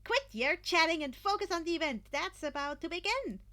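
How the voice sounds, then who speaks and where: Woman as a bossy, high-voiced, enthusiastic teenage girl. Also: lively intonation, a little fast, pushed and bright, heavily acted. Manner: emphatic, enthusiastic. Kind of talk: amateur fan fiction audio reading.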